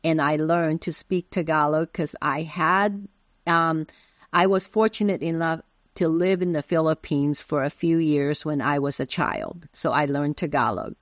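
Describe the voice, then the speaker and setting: A woman's voice: sits low, measured pace, no echo, clean studio sound. A middle-aged woman from Taiwan whose first language is Taiwanese Hokkien, patiently explaining things as an interview guest. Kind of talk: interview answers.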